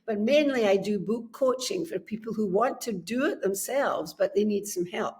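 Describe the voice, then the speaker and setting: An older woman with a Scottish accent, a book coach and podcast guest, telling her story in a measured, friendly way on a remote call. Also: medium pitch, animated, a little mellow. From Scotland. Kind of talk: casual interview chat. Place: home, on a remote call from abroad.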